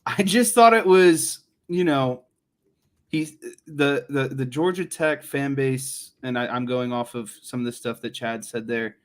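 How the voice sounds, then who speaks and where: A young man with a slightly raspy, sick voice, chatting wryly as co-host over a video call. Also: mid-range voice, a little slow. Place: room at home. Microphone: webcam on a video call.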